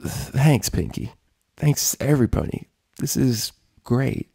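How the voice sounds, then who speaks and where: A man voicing a tired, ailing young female athlete pony, proud but rough and labored. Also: mid-range voice, big pitch swings, slow pace, warm tone, dry recording, studio quality, pitched above the performer's own voice, heavily acted. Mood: weary. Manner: hesitant, weary.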